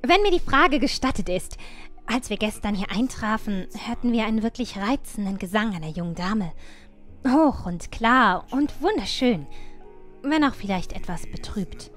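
A woman's voice: medium pitch, expressive, normal speed, a bit bright, faint music behind, lightly acted. A woman playing an inquisitive young noble lady with gushing, ornate politeness in a fantasy audio drama. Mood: politely curious. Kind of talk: fan-made fantasy audio drama acting.

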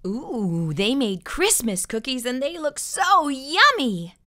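Middle-aged woman, children's storytime host, highish bright voice, expressive read-aloud, exaggerated emphasis, lapel mic indoors. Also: takes it slowly. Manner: expressive, asks the listener questions, exaggerated emphasis. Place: small furnished room indoors. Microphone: lapel microphone.

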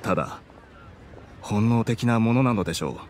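A man's voice: on the low side, animated, normal speed, a little mellow, a little hiss or hum, close to an everyday voice. A man voicing an earnest, dutiful subordinate agent who speaks formally, in a Japanese video game. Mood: apologetic, reporting.

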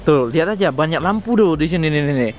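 A young man with a fairly high voice chats casually to a handheld camera outdoors. He is enthusiastic and exclaims often, like a travel vlogger. Music plays clearly behind him. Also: animated, fast talker. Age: young adult. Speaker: vlogger, host. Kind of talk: casual travel vlog chat. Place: outdoors at night in a hot spring town. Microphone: handheld camera at arm's length.

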